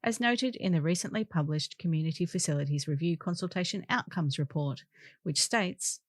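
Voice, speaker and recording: A woman's voice: fairly low pitch, normal speed, no room sound, studio quality. A woman reading local newspaper articles aloud as scripted narration. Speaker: volunteer newspaper reader.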